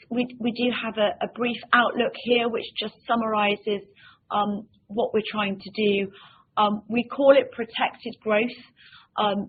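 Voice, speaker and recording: A woman presents company results as an executive on a conference call. Her delivery is measured and halting, with many ums and repeated words. The sound is thin and phone-like, with slight noise behind her. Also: lowish voice. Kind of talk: investor presentation, semi-scripted. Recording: tinny phone-like line with faint noise.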